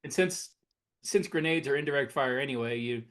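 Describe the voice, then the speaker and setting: Mid-pitched man in casual podcast talk. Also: fairly quick. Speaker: podcast co-host, science fiction author.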